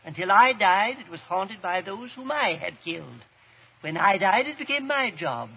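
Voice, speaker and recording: An actor playing the smug ghost of a murderer with mannered, mocking politeness in old-time radio horror. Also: male voice, high voice, sing-song, moderate pace, vocal fry, slightly rough, heavily acted. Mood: ominous, triumphant. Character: smug, gloating male ghost of a wife-killer. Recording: dull, noisy vintage radio recording.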